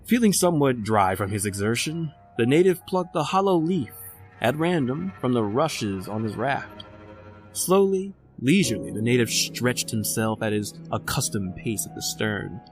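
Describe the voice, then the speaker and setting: A man giving measured, dramatic scripted narration for a radio story. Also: medium pitch, very animated, soft background music.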